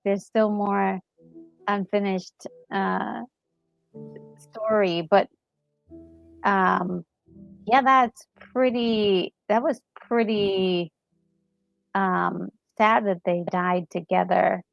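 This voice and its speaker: A woman co-host giving casual reactions, halting as she searches for her words, on a slightly muffled recording. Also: mid-range voice, steady tone, gravelly, soft background music. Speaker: gaming co-host.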